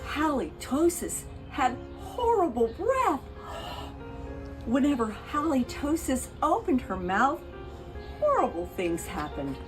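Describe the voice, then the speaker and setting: A woman reads a children's story aloud with a highly animated, swooping delivery. Her voice sits fairly high and she takes her time, savoring phrases expressively. Music plays behind her. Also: poor audio. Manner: expressive, deliberate, with playful asides to listeners. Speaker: picture book reader for a pet rescue show.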